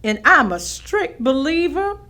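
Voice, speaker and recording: An actress playing a proud, firm veteran schoolteacher looking back in a staged reading. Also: female voice, high voice, big pitch swings, unhurried, pushed and bright, heavily acted. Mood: proud, reminiscing. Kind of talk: staged monologue of oral history recollections.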